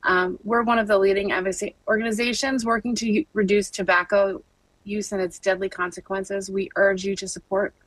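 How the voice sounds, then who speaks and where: A woman's voice: mid-range voice, flat delivery, a little fast, a bit bright, dry recording, rough recording. A woman giving brief, scripted testimony over a video call. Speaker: advocacy director testifying. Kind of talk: remote legislative testimony.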